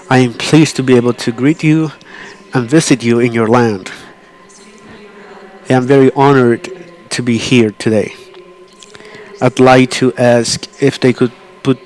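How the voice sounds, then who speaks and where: A man interpreting a conference talk live, in a halting way with frequent restarts and self-corrections. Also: medium pitch, darkish tone, poor audio.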